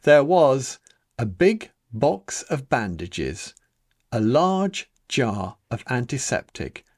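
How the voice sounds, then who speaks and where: A man narrates a children's picture book with lively swings of pitch. He takes his time, pausing for emphasis and drama, in a close, dry recording. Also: no echo. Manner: dramatic, deliberate storytelling with emphatic pauses. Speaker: author reading his own picture book. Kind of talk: expressive children's story narration.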